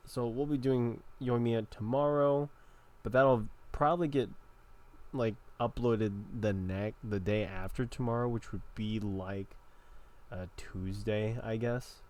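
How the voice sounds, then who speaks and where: A man's voice: mid-range voice, unhurried, darkish tone. A man giving casual gameplay commentary as a streamer, hesitant and informal.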